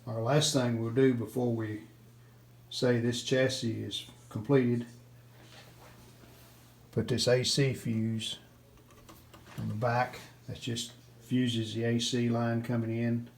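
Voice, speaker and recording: A man's voice: medium pitch, little pitch movement, slow, vocal fry, pauses often, a little hiss or hum. A man talking casually through a hands-on restoration in a plain, matter-of-fact way, in short clipped sentences. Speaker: host of a vintage radio repair video. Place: indoors at home.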